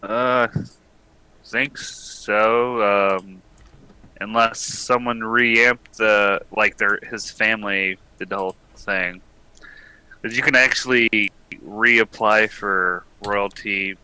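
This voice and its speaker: A man talks casually on a livestream with a very bright, forceful edge and a clean, clear tone. He speaks slowly and haltingly, in a fairly low register, letting thoughts trail off. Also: fairly even intonation, poor audio. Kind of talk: casual group chat on a livestream.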